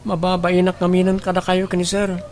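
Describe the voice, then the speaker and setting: A woman's voice: fairly low pitch, completely flat delivery, a little fast, a little mellow, a little hiss or hum, natural voice. A woman playing a timid, hard-working youngster in a radio drama, speaking in a deferential, pleading manner. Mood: respectful, shy. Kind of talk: Ilocano radio drama acting.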